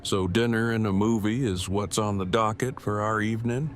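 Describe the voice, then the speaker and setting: An actor playing an earnest, verbose, nerdy husband in an audio drama, speaking in formal technical phrases. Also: male voice, lowish voice, fairly even intonation, moderate pace, lightly acted. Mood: sincere. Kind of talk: fan audio drama acting.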